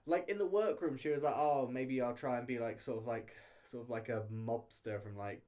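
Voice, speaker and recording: A man's voice: medium pitch, normal speed, a little mellow. Casual, rambling man reviewing a show. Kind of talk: casual video review, chatty commentary.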